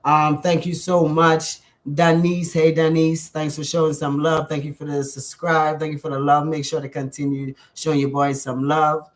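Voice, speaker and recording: Bahamian guy chatting to his viewers, pretty flat pitch, lots of thank yous and ums. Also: normal speed, few pauses. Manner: effusive, repeats thanks and shout-outs, filler ums. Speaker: vlogger. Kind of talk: casual direct-to-camera vlog.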